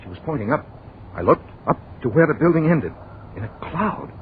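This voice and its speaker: A man's voice: fairly high pitch, sing-song. Bewildered man, swooping, old radio. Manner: breathless, dramatic, halting fragments. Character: bewildered, horrified man narrating his dreamlike visit to the future. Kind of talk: 1940s radio drama, first-person narration. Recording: muffled, noisy old radio recording.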